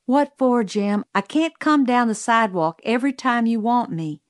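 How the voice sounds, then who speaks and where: Woman voicing a forceful, high-pitched Southern housekeeper. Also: expressive, moderate pace, dry recording, studio quality, lightly acted. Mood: exasperated, skeptical. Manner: brusque, commanding, emphatic. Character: forceful, no-nonsense Southern housekeeper woman, exasperated then urgent. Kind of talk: audiobook character voice.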